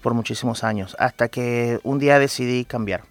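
A man speaks as a guest in a radio interview. He explains earnestly, often pausing on fillers, and his voice sits at a middle pitch. The sound is dry and close. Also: gravelly, no room sound. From Venezuela. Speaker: guest entrepreneur.

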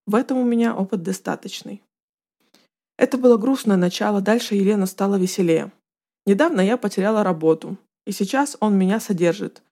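A woman's voice: mid-range voice, brisk, mellow. A woman reading a first-person confessional account aloud as scripted narration.

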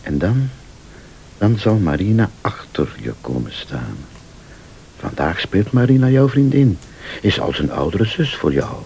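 Shrewd accuser in a radio thriller, voice swings up and down, mellow, formal and insinuating. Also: male voice, on the low side, very animated, vocal fry, a little hiss or hum, heavily acted. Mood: ominous, hushed. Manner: deliberate, theatrical, formal, insinuating. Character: male late-night accuser, shrewd, insistent, laying out a murder plot. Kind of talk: Dutch-language radio thriller acting.

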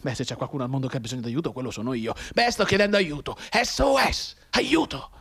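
An actor playing a mute, bedridden wounded man in an intense radio monologue, going between hushed brooding and anguished urgency. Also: mid-range voice, animated, quick pace, creaky, breathy edge, full character voice. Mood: weary, resigned, then desperate, urgent. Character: mute, bedridden wounded man desperately tapping out Morse code.

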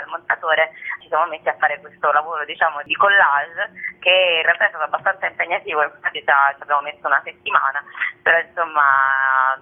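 A woman theatre director calling in to a radio interview, talking chattily with lots of fillers and restarts over a phone line. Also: sits low.

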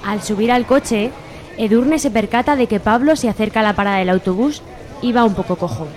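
A woman's voice: fairly high pitch, brisk, a little mellow. A woman giving scripted narration for a radio serial.

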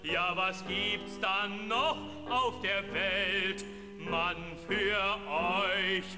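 An actor playing a rousing, cynical gold-rush balladeer, half singing and half declaiming over music in a reverberant space. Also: male voice, sits high, fairly even intonation, drawn-out words. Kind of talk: theatrical sung ballad with declaimed verses. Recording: long reverberant hall sound. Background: loud musical accompaniment.

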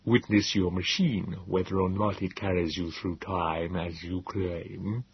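A man voicing a calm, clinical male Morlock who speaks in a slow, even, measured way. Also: deep voice, dull audio, a little hiss or hum.

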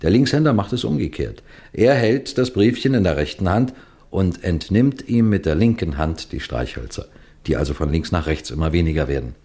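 An actor playing a seasoned, methodical detective chief in a 1960s German radio crime drama, lecturing with deliberate precision. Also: male voice, deep voice, very animated, dark tone. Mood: measured explaining.

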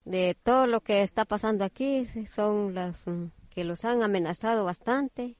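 Real-voice testimony: woman activist, medium pitch, plain-spoken and emphatic, dry recording. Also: measured pace, dry recording.

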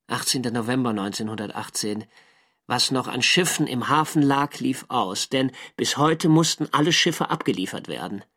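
A mid-pitched man as a reflective naval lieutenant. He narrates a diary for a literary radio drama, measured and sober. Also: a bit bright. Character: reflective German naval lieutenant recalling the war's end.

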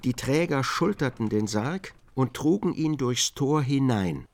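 A man reading scripted literary narration for a radio drama in a measured, precise way. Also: medium pitch, lively intonation, a little mellow.

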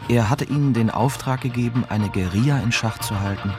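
An actor playing a young German journalist, narrating a radio drama in a reflective, bitter way. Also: male voice, mid-range voice, a little fast, over music, natural voice. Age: young adult.

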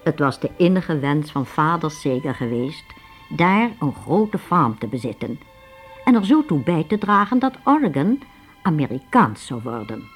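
A woman's voice: sits low, very animated, moderate pace, faint music behind. A woman narrating a radio drama in a dramatic storytelling style.

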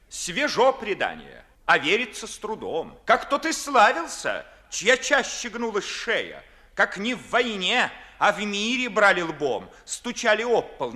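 An actor playing a sardonic, ardent freethinking gentleman, declaiming verse in a mocking, oratorical manner in a 1950s radio play. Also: high-pitched, exaggerated voice. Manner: mocking, oratorical, crisply phrased.

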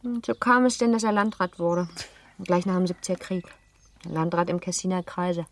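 A headstrong, well-born girl of seventeen played by an actress in a radio drama. Her voice sits a little low for a woman, and she chatters on with playful, teasing self-assurance. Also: fairly even intonation, moderate pace, close to an everyday voice. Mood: chatty. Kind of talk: German radio play acting.

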